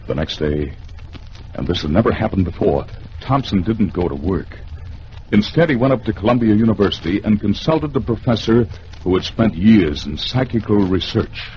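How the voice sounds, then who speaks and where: An American man narrates a radio thriller with theatrical suspense and lively swings in pitch. His voice is fairly low and his phrasing measured. It sounds like a dull, slightly noisy 1950s broadcast. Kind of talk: dramatic 1950s radio storytelling.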